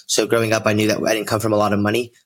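A man's voice: fairly low pitch, completely flat delivery, very fast. A twenty-year-old man from the United States, chatting casually as a guest on a podcast. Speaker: podcast guest, real estate investor. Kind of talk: casual conversational storytelling.

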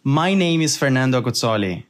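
Young male host, Brazilian accent, earnest and measured scripted read, mid-range voice. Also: medium pitch, lively intonation. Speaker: podcast host. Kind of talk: scripted narration.

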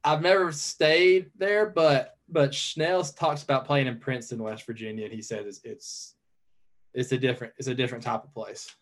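A man's voice: mid-range voice, steady tone, moderate pace. A young man with a Southern US accent, chatting easily as a guest on a podcast. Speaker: podcast guest, pro pitcher. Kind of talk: casual podcast chat.

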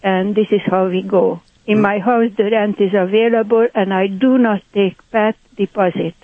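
A woman in her eighties with a Hungarian accent, chatting on the phone as a guest, speaking broken English with soft laughs. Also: medium pitch, steady tone, normal speed, no room sound. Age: 80s. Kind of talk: casual phone interview. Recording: dry tinny phone line.

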